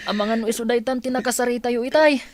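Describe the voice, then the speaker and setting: Actor as a humble, solicitous helper. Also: male voice, very high-pitched, racing, dry recording. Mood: alarmed. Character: humble, concerned helper addressing his madam respectfully. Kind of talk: Ilocano radio drama acting.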